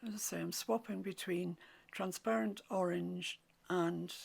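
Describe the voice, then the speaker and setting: A woman with a croaky voice, teaching a watercolour painting tutorial in soft, often half-whispered instructions. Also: on the low side, steady tone, unhurried. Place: home room with a mantelpiece.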